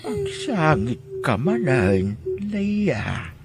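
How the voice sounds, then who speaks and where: Elderly male, actor as protective grandfather, slow measured delivery, expressive pitch, tender, radio drama. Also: heavily acted. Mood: consoling, sorrowful. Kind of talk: Ilocano radio drama acting.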